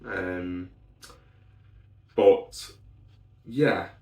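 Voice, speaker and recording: A man with a low voice talks through a beer advent calendar in a loose, rambling way, full of ums and restarts. His voice is still recovering after being unwell. He sits a little back from the mic in an echoey room. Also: very slow, creaky, a little mellow, recorded from a distance. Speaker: beer reviewer. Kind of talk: casual solo beer review.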